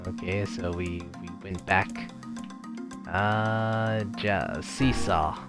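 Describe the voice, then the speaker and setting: A man commentating a game playthrough, reading the on-screen text aloud and thinking a riddle through out loud. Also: low voice. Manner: slow, musing, talks through the riddle. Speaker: gaming commentator. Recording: loud game music behind the voice.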